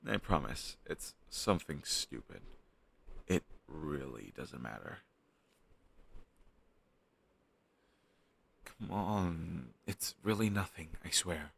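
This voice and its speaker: A man performs a flustered young guy with a very low, gravelly voice in an intimate audio roleplay. His intonation swings widely as he talks around a crush on his best friend. He is halting and sheepish, like someone in a private conversation. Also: lively intonation, a bit bright, heavily acted. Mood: evasive, sheepish.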